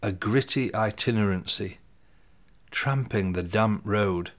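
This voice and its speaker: Slow, low-voiced poet. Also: male voice. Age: 50s. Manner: deliberate, pausing between short phrases, voice dropping at line ends. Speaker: poet reading his own poems. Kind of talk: slow, measured poetry reading. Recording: soft music underneath.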